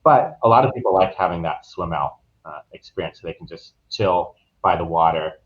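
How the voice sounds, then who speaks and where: A man's voice: low-pitched, fairly quick. A man speaking as an interviewed travel advisor, explaining things in a casual, informal, loosely phrased way. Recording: dull, muffled lo-fi audio.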